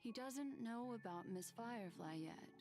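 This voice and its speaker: An actress playing an elegant, enigmatic woman advisor in a video game, speaking in a measured, deliberate, shrewd way over music. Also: a little high, animated, darkish tone. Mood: composed, cautioning. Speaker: video game character actor. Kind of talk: dramatic English game dialogue.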